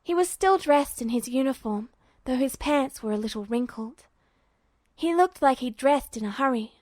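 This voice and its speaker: A woman's voice: high voice, expressive, moderate pace, darkish tone, dry recording. A woman reading a scripted story aloud as narrator.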